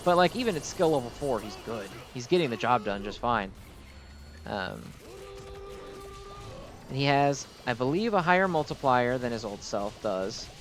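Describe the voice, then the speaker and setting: A man's voice: mid-range voice, sing-song, normal speed, long pauses, soft background music. A man hosting a gaming video with casual, unscripted commentary, rambling and interrupting himself as he explains.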